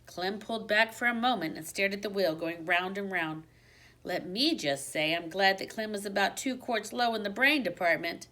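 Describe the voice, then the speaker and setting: A woman reads a children's storybook aloud in a medium-pitched voice. Her narration is expressive, in the way of a teacher reading to a class, and she leans dramatically on the exciting parts. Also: normal speed. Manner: dramatic emphasis on exciting moments.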